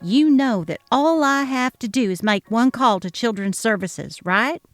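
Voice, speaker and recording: A woman reading the part of a jealous, delusional older grandmother who shouts threats. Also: on the high side, lively intonation, unhurried, dry recording, clean studio sound. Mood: furious.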